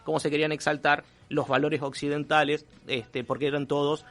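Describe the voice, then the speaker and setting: A man with a Rioplatense accent in a casual radio conversation as a columnist, analytical and halting, using formal vocabulary. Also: a little high, flat delivery, a bit bright. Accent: Rioplatense Spanish. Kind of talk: casual on-air conversation about comics and philosophy.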